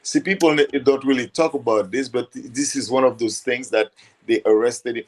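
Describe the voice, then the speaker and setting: Older Haitian man, halting explainer. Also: mid-range voice. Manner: halting, repeats words as he searches for them. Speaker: guest. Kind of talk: conversational explanation.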